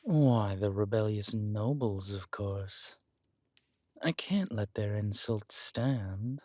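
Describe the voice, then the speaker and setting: A man's voice: fairly low pitch, slow, darkish tone, no room sound, studio quality. A man playing a scheming, flirtatious villain king in an intimate roleplay audio drama, with a languid, teasing, menacing delivery. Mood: coolly menacing.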